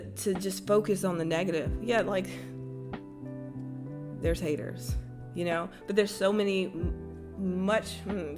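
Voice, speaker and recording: A young woman with a light Texas accent giving a casual tarot reading, blunt and conversational, swearing freely and using slang. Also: medium pitch. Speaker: tarot reader and spiritual channel host. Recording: guitar music playing behind.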